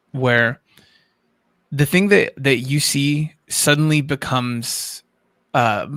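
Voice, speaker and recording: A man's voice: mid-range voice, vocal fry, a bit bright, no echo. A man hosting a livestream, explaining his points at length with many qualifications in a casual discussion style. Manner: explanatory, long-winded, qualifying. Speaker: livestream host.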